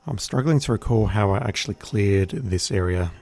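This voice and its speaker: An Australian man giving relaxed, chatty commentary as he plays a game on stream, with a wry humor. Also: on the low side, normal speed, no echo. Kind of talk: casual gameplay commentary.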